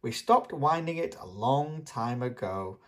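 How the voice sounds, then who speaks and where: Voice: mid-range voice, animated, unhurried, lightly acted. An adult reader voicing a patient, easygoing father pig in a children's storybook. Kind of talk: children's storybook read-aloud.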